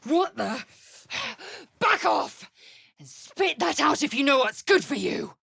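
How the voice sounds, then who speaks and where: A woman's voice: high voice, very animated, slow, vocal fry, a bit bright, dry recording, studio quality, exaggerated voice. An actress playing a bold, sardonic female spellcasting adventurer in a video game, with a wry, punchy, theatrical delivery. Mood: indignant, urgent. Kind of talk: scripted video game character lines.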